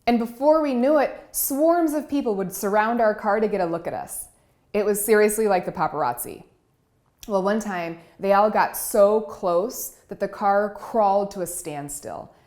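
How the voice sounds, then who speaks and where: A woman's voice: mid-range voice, moderate pace. A woman who grew up in Cameroon and Iowa, giving a personal storytelling speech as a keynote speaker. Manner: warm storytelling, vivid and expressive.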